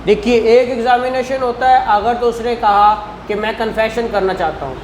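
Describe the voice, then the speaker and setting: A man's voice: very high-pitched, moderate pace. A man with a Pakistani accent lecturing as a law teacher, reading statute and explaining it step by step, often checking that listeners follow. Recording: clear background noise in the room.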